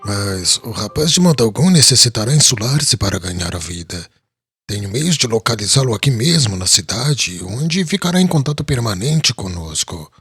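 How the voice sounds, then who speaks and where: A man voicing a husky kindly merchant. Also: lowish voice, sing-song, normal speed, a bit bright, no room sound, studio quality, soft background music, exaggerated voice. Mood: puzzled. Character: kindly male merchant, hospitable host.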